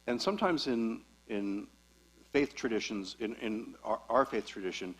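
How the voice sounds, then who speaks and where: A man speaking as a guest psychologist at a church talk, explaining concepts clearly and patiently. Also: lowish voice, normal speed, rough recording.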